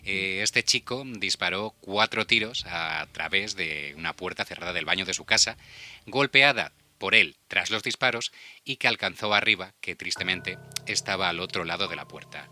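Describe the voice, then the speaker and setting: A man's voice: deep voice, lively intonation, moderate pace, sharp tone. A man with a Spain Spanish accent hosting a conversational true-crime radio discussion. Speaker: radio show host. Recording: music under the voice. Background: background music.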